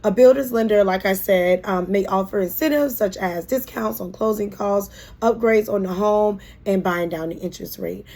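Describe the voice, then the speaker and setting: A hoarse woman working as a real estate agent, explaining home financing in a casual, chatty video with frequent ums and restarts. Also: medium pitch, normal speed, darkish tone.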